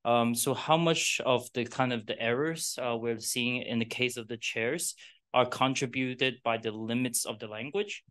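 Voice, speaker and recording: Male audience member, mid-pitched, halting, filler-heavy questioning. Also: a bit bright. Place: lecture room.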